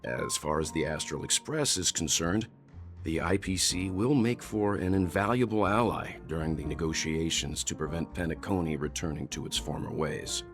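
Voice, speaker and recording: An actor playing a composed, thoughtful mature gentleman in a video game, speaking in a measured, formal, articulate way. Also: a bass voice, faint music behind. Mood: explanatory. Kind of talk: game voice acting, formal dialogue.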